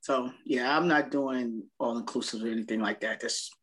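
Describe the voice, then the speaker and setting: A woman in her fifties co-hosting a podcast, chatting casually and telling stories in a slangy, animated way, acting out what people said. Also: very deep voice, moderate pace, rough recording. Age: 50s. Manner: animated, slangy, acts out quotes. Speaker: podcast co-host. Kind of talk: casual chat, storytelling.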